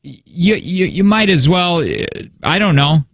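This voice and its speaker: A man's voice: sits high, expressive, measured pace, dry recording. Male radio host, 30s, rambling and self-doubting, dry studio sound. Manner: rambling, self-reproachful, many restarts. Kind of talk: casual morning radio chat. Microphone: studio mic.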